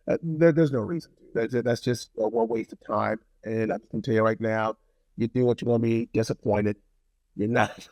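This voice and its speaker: A man's voice: mid-range voice, darkish tone, rough recording. Middle-aged male reviewer, casual and wry, chatty delivery straight to the viewer. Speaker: gadget review host.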